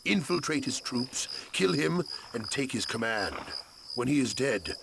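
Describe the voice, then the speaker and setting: An actor playing a cold, scheming necromancer war commander, narrating a game cutscene in a theatrical, commanding, gravelly voice. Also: male voice, mid-range voice, expressive, a little slow, noisy background. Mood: stern command. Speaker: campaign briefing narrator. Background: music.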